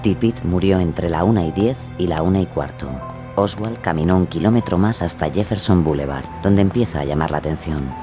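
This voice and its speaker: A man's voice: sits low, fairly quick, over music. A man narrating a scripted documentary as its storyteller.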